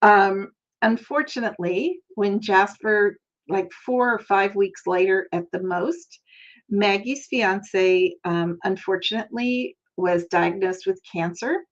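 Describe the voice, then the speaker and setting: A woman's voice: medium pitch, slow. Chatty older woman, slightly dull audio. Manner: chatty, friendly, laughs often. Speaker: crafting channel host. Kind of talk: casual crafting tutorial.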